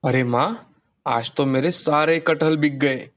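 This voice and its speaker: Kind, honest street vendor for a moral tale, played by a man; fairly high voice, polite, earnest delivery. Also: takes it slowly. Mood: pleased. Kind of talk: dramatized Hindi moral storytelling.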